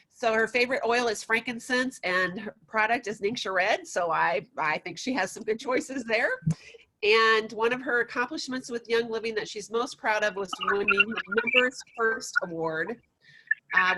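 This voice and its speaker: A woman's voice: mid-range voice, pushed and bright, slight background noise, rough recording. A woman hosting an online session, introducing her guest with praise and frequent hesitant restarts. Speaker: host. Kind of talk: introducing a guest on a video call.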